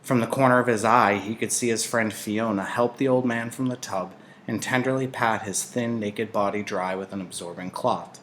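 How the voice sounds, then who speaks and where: A man reading a novel aloud in an expressive narrating style. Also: on the low side, moderate pace, few pauses, a little hiss or hum.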